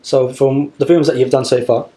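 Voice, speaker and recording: A man's voice: mid-range voice, fairly even intonation, moderate pace, warm tone. A man hosting a casual radio interview, putting questions to his guest.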